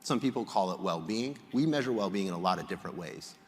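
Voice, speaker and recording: A man from Egypt giving a prepared public presentation as a researcher, explaining in a measured way with emphatic phrasing. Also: sits low, lively intonation. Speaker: conference speaker, polling researcher. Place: large indoor hall, on stage. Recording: reverberant hall sound.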